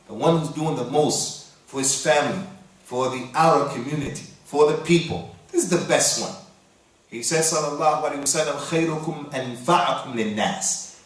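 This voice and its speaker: A man from the United States giving a sermon, reciting Arabic verses quickly and then explaining them in English. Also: on the high side. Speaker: Islamic preacher. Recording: very echoey hall, voice from far off.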